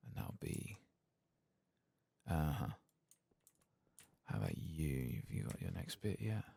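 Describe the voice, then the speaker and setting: Low, gravelly soft-spoken man. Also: sing-song, no room sound. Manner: murmured, unhurried, reading quest text aloud. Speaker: ASMR gaming channel host. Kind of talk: soft-spoken, partly whispered game playthrough commentary.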